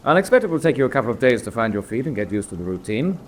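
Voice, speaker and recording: An actor playing a senior officer in a radio drama, dry and authoritative, with a clipped, formal manner of briefing. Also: male voice, mid-range voice, big pitch swings, rapid-fire. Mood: brisk, authoritative. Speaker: senior prisoner-of-war officer.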